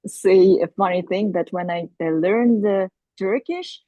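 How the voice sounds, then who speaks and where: Hesitant husky woman searching for words. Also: medium pitch, a little slow, dark tone, no echo. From Crimea. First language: Crimean Tatar. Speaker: audience member asking a question. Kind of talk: spontaneous discussion remarks.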